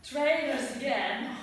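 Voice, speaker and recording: A woman's voice: high-pitched, recorded from a distance, exaggerated voice. A woman playing a bumbling comic Prince Hamlet in a live amateur radio-play staging, laughing at her own jokes. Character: bumbling comic male prince Hamlet, chuckling and self-satisfied. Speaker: amateur stage actor. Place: theatre stage. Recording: echoey hall, picked up from afar.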